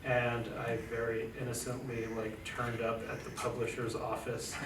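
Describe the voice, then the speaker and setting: A man talks casually on a panel, halting often and circling back with fillers as he thinks aloud. He sits away from the mic in an echoey lecture room. A steady hiss of noise sits under his voice. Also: mid-range voice, far from the mic. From United States, family from Chile. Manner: hesitant, many fillers and restarts. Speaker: poet and translator on a panel.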